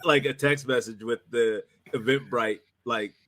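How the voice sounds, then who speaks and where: A man's voice: medium pitch, fairly even intonation, unhurried, a bit bright. A man hosting a casual interview, talking in an enthusiastic, slangy way. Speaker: interviewer, show creator. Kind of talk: casual chat.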